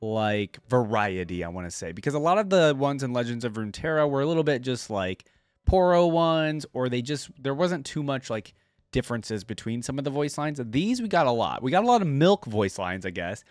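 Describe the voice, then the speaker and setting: A man's voice: mid-range voice, sing-song, fairly quick, no room sound. Casual male reaction host, dry close sound. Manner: musing, hedging, conversational. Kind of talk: casual off-the-cuff commentary.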